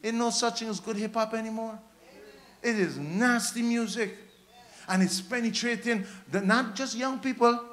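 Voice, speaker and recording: A man's voice: extremely high pitch, normal speed, a bit bright. A man in his thirties from Guyana with a Guyanese accent, preaching a sermon into a handheld microphone with emphatic, repetitive exhortation. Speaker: pastor preaching. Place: church stage, indoors.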